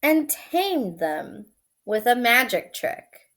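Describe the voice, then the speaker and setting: A woman reading a children's picture book aloud expressively, drawing out phrases and adding simple asides for young listeners. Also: a little high. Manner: expressive, drawn-out delivery. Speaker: storybook reader.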